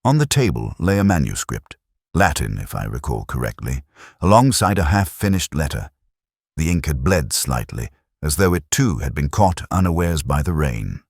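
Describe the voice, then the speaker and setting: A man narrating a scripted first-person detective story in a measured, literary, world-weary manner. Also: extremely low pitch, sing-song, slightly rough, no echo, studio quality.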